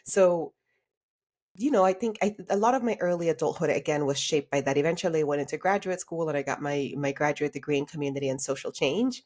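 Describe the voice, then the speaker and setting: A woman's voice: sits low, quick pace, darkish tone. A woman from Honduras who moved to the United States as a child, telling her own story as a podcast guest in a candid, informal and reflective way. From Honduras until age eight, then United States. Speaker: podcast guest, career coach. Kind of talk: conversational personal storytelling.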